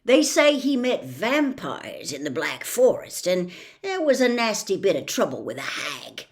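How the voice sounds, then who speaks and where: A woman's voice: medium pitch, very animated, a little slow. A woman voicing a huge friendly man who talks in a blunt, hearty, homely way.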